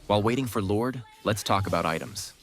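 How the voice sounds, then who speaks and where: Male gaming guide narrator, lowish pitch, instructive delivery with cocky trash-talk flavor. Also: fairly quick, faint music behind. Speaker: gaming guide creator, commentator. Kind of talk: scripted game guide commentary.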